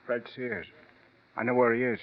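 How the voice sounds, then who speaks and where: An actor playing a breezy, oily small-time crook in a 1940s radio drama, cocky, slangy and over-familiar. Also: male voice, medium pitch, steady tone, moderate pace, natural voice. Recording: muffled vintage radio broadcast.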